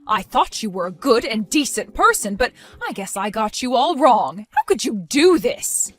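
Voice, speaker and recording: A woman voicing an indignant older woman who scolds and accuses in a lively, high voice. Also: normal speed, no echo, faint music behind. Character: furious indignant older woman caring for a baby.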